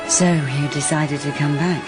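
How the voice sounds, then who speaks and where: An actress playing a forthright, sardonic private detective in a radio drama, with a blunt, dry manner. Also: female voice, deep voice, steady tone, a little slow, loud music behind the voice. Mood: probing.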